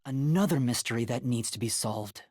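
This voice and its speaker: A man's voice: mid-range voice, moderate pace, a little mellow, no echo, studio quality, close to an everyday voice. A man voicing an earnest, anxious young male trainee detective in an English video game, hesitant and thinking aloud. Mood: earnest, deducing.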